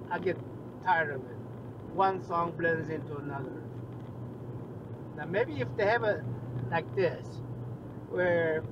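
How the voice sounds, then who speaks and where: Middle-aged man, rambling and enthusiastic, fairly high voice, dull dashcam audio, loud road noise in a moving car. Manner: rambling, enthusiastic, with frequent restarts and fillers. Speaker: music vlogger. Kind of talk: casual talk to camera while driving. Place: inside a car on a highway. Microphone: dashboard camera mic.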